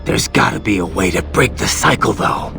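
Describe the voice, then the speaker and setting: An actor playing a grim, weary, duty-bound cyborg soldier in a video game, with a gravelly voice and brooding, terse manner. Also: male voice, lowish voice, lively intonation, moderate pace, bright, forceful tone, faint music behind, heavily acted. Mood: grim, resolute.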